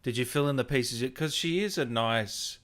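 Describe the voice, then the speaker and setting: A man's voice: medium pitch, moderate pace, a bit bright. A man hosting a casual interview, informal and enthusiastic, chatting and reading out viewer questions. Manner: informal, mildly sweary, enthusiastic. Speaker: interview host.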